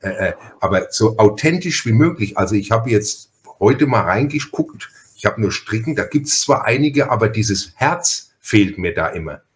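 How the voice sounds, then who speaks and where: A man's voice: fairly low pitch, expressive, normal speed, hardly any pauses. A man from Franconia with a Franconian accent, hosting a casual podcast interview and telling stories in a folksy, chatty, stop-and-start way. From Franconia, Germany. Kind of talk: casual interview chat with storytelling.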